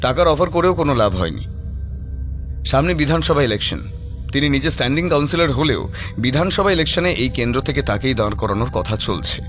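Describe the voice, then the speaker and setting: A man's voice: medium pitch, lively intonation, fairly quick, a bit bright, faint music behind. A man narrating a scripted horror audio story in a storytelling style.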